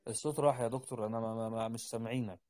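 A man's voice: mid-range voice, fairly even intonation, very fast, dark tone, no echo, clean studio sound. A man with an Egyptian Arabic accent giving casual advice on a group voice call, rambling and repeating his words. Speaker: host, doctor advising junior colleagues. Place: online voice call.

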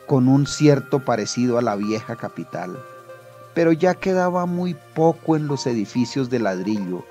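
Slow-paced man narrating over music. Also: mid-range voice, darkish tone. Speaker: narrator of an audio story. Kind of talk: scripted literary narration.

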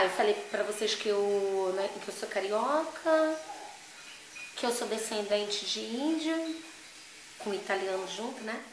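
A Brazilian woman in her thirties with a Rio de Janeiro accent, chatting casually and emphatically to the camera as a vlogger. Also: on the high side. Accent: Rio de Janeiro (carioca) Brazilian Portuguese. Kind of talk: casual personal chat to camera. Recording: echoey room, faint background noise.

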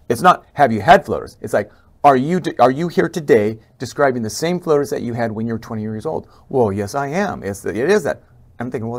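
A middle-aged man, an eye doctor, explaining slides in a casual lecture with many fillers and restarts. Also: medium pitch. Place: indoor office, webcam inset over slides.

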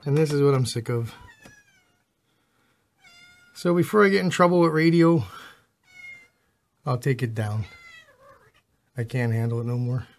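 A man's voice: medium pitch, a little slow, pauses often. Casual man explaining gear. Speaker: amateur radio hobbyist presenting a how-to video. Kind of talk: casual hands-on demonstration. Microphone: handheld camera mic, operator behind camera.

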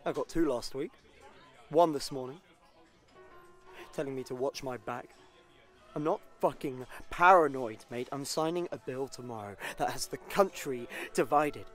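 An actor playing a defensive, wary politician in a naturalistic audio drama. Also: male voice, medium pitch, moderate pace, faint music behind, close to an everyday voice. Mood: tense, grave. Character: tense, defensive male politician, proud and wary.

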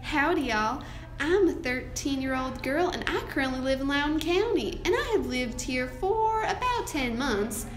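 A woman's voice: high-pitched, animated, normal speed, a little hiss or hum. A young woman playing an earnest, hopeful thirteen-year-old frontier girl in a recited storytelling monologue. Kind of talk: scripted first-person monologue. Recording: roomy, echoing amateur recording.

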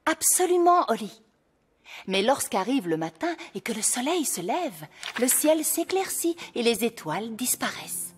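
An actress playing a gracious fairy queen and mother in a French cartoon, patient and expressive, with a slightly husky voice. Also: on the high side, unhurried, a little hiss or hum. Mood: patient, explaining. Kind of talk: French animated cartoon dialogue.

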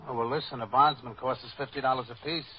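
A man's voice: medium pitch, steady tone, fast, breathy edge, lightly acted. A man playing a glib, cheeky small-time car thief in an old-time radio police drama. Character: wisecracking small-time car thief, a linoleum layer's helper. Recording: dull, noisy old radio recording.

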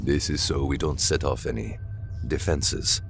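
An actor playing a grave, authoritative space pilot and guide in an audio drama, speaking tersely. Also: male voice, rumbling low, measured pace, soft background music. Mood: grave, commanding.

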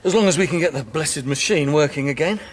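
A man's voice: fairly high pitch, quick pace, slightly rough. An actor playing a chatty, bumbling but cheerfully confident man with an English accent in a naturalistic radio drama. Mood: breezy.

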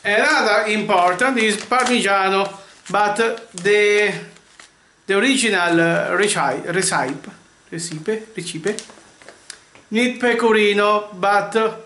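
A man in his thirties hosting a home cooking lesson, halting and searching for English words. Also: high voice, bright, forceful tone. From Vietnam. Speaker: cooking channel host. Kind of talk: casual cooking demonstration. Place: home kitchen, indoors. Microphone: camera mic, often at arm's length or across the room. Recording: echoey kitchen with faint noise.

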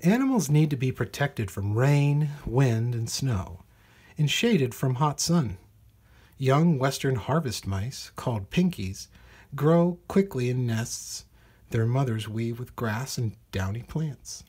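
A man reads a children's nature book aloud. His voice sits at a middling pitch with a noticeably breathy, husky grain. He phrases each sentence deliberately, as narration for young listeners. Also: animated, darkish tone. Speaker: storybook reader. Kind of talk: read-aloud children's nonfiction storybook narration.

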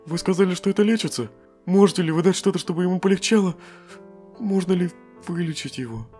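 A woman's voice: fairly low pitch, fast, warm tone, soft background music, lightly acted. A woman voicing a protective, casual older skeleton brother in a comic dub. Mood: responsible. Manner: casual, reassuring.

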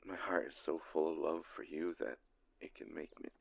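A man performing an intimate audio roleplay as a lovesick, insecure boyfriend, halting and breathy with a gravelly voice, often sinking into whispers. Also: extremely low pitch, dry recording, highs cut off, full character voice. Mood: tender longing. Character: lovesick, insecure boyfriend longing for his distant partner. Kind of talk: scripted intimate romantic monologue.

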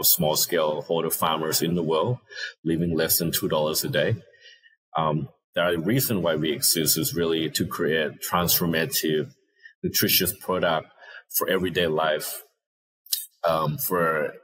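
Low, husky Thai-accented man. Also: fairly bright tone, low-quality recording. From Thailand. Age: 30s. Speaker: interview guest, social entrepreneur. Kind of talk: conversational interview answers. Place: indoor room, on a video call. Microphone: earphone headset.

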